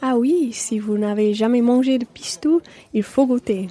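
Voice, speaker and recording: A woman playing a sociable French mother and teacher in a learner's radio play, with a clear, measured conversational delivery. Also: a little high, warm tone, lightly acted. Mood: sociable, warm.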